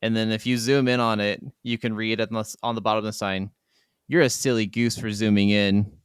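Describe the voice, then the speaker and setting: A man's voice: fairly low pitch, flat delivery, fairly quick, clear, resonant tone, no room sound, clean studio sound. A man co-hosting a gaming podcast, talking in a casual, rambling way with plenty of filler "like". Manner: rambling, peppered with "like". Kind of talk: casual chat about video games.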